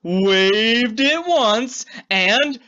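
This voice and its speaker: Slow, animated woman reading a storybook. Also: on the high side, highs cut off. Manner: theatrical, drawn-out emphasis on key words. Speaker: children's storybook reader. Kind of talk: read-aloud picture book narration.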